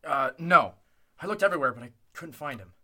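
A man's voice: a little high, lively intonation, a little fast, pushed and bright, full character voice. An actor playing a tense, protective man in the style of an old-time radio drama. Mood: uneasy. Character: Clint, tense protective man searching in fog.

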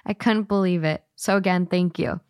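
A woman's voice: lowish voice, normal speed, a little mellow, clean tone, dry recording. Woman co-hosting a podcast, dry sound. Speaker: podcast co-host. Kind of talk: casual chat, reading listener letters.

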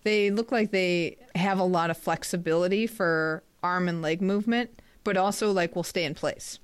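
A woman co-hosting a sports podcast in casual chat, chatty and quick to laugh. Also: fairly low pitch, clear, resonant tone. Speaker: podcast co-host.

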